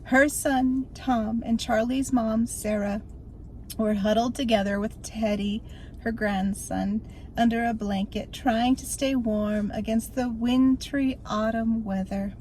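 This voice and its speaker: An older woman reading a spooky story aloud in an expressive, theatrical storytelling manner. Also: fairly high pitch, slow, a little hiss or hum, poor audio.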